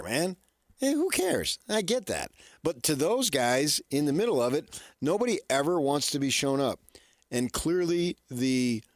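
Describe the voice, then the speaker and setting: A middle-aged American man co-hosting sports radio, giving opinions conversationally and haltingly, as if thinking aloud. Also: medium pitch, dry recording, clean studio sound. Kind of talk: casual sports talk opinion. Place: radio studio. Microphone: close studio microphone.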